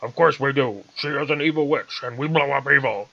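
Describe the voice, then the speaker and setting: Blustering military general, angry and commanding, forceful bright voice performed by a man, storybook villain, dull recording. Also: medium pitch, fairly quick, vocal fry.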